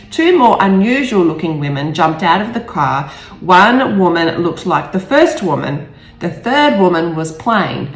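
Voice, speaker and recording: A woman author narrating her own book, stumbling over and rereading the odd phrase. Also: fairly low pitch. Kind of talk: audiobook-style narration with brief personal asides. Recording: roomy echo, faint music behind.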